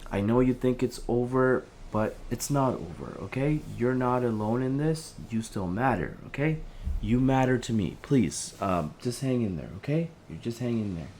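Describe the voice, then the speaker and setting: A man playing a gentle, anxious helpline counsellor in an audio drama, halting, earnest and reassuring. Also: mid-range voice, lively intonation, a little hiss or hum, lightly acted. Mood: worried, pleading. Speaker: helpline operator. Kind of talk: audio drama phone-call acting.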